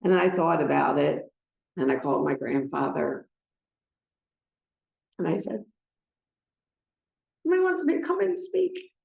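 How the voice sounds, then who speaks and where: An older American woman giving a personal talk to a gathering, speaking in a halting, emotional way. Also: deep voice, sing-song, vocal fry, darkish tone, muffled recording. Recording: roomy, echoing sound.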